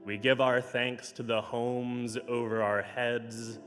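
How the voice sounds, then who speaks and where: A hoarse man serving as minister, reading pastoral care notes and leading a prayer in a slow, measured, gentle way, recorded for a church livestream. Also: medium pitch, level tone. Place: large church sanctuary. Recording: livestream with long hall reverb.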